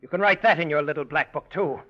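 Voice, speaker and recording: A man's voice: a little high, quick pace, sharp tone. An actor playing a proud, touchy young male ballet dancer in a 1940s radio drama, sulky and defensive. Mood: resentful. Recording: dull old radio broadcast.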